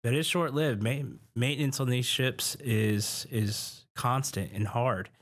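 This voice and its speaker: A man answering interview questions about ship maintenance, speaking haltingly with frequent restarts. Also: medium pitch.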